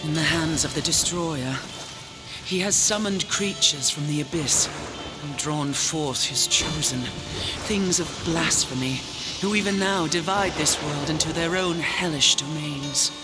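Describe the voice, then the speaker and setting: A man's voice: fairly high pitch, unhurried, close to an everyday voice, rough recording. A man playing a weary, solemn angel warrior of a fallen order in a video game cutscene, speaking formally and portentously. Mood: grave. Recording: loud music under the voice.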